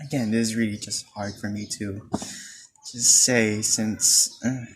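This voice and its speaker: Slow hesitant teen guy w/ filipino accent, faint hiss behind. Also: fairly low pitch, steady tone. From Philippines. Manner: hesitant, halting, many fillers and restarts. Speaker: student. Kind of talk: personal confession monologue.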